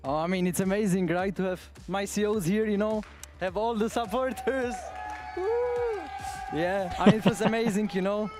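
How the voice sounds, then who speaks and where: High-voiced male pro player. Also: moderate pace, music in the background, crowd noise. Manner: loose, laughing, leans on "you know". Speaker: pro player giving a post-match interview. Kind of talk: post-match stage interview. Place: on stage in an arena.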